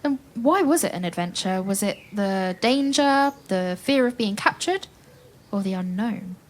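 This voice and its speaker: A woman playing an expressive storyteller in an audio play, addressing the listeners as she narrates. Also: mid-range voice, measured pace, lightly acted. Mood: inviting, reflective. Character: storyteller woman, calm yet dramatic, playing a brave spy.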